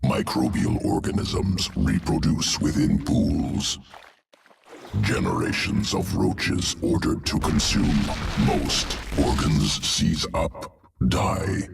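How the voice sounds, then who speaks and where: A cold alien creature-scientist with an extremely deep, grinding gravelly voice, talking in slow, clipped fragments. The voice sits back from the mic with game music behind. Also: male voice, sing-song, recorded from a distance, a little hiss or hum, full character voice. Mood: clinical. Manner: clipped fragmented speech with no small words, detached.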